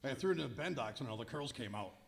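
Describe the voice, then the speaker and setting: Man playing very gravelly, cheeky radio manservant. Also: medium pitch, lively intonation, fast. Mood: matter-of-fact. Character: cheeky, unflappable male servant to a comedian. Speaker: stage actor in old-time radio revue. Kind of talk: 1940s radio comedy acting before a live audience. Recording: echoey hall recording of stage show.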